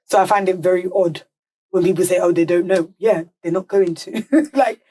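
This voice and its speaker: A woman's voice: fairly low pitch, fairly even intonation, fairly quick, a little mellow. A woman speaking as a podcast guest in a casual panel discussion, blunt, emphatic and sure of herself. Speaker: podcast guest, music legal and business affairs manager.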